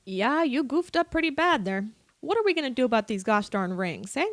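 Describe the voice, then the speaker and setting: A woman's voice: fairly high pitch, big pitch swings, fairly quick, no echo, clean studio sound. A woman playing a folksy, blunt elf lady with an exaggerated Minnesotan accent in a comedy radio drama. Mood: chatty, blunt.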